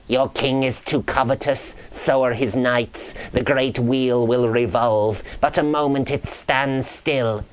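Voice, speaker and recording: A man's voice: medium pitch, fairly even intonation, slow pace, few pauses, lightly acted. A man voicing a grisly, woeful ghost who solemnly prophesies doom in a dramatic reading of medieval romance verse. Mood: grim, accusing. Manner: solemn, incantatory, moaning, preaching.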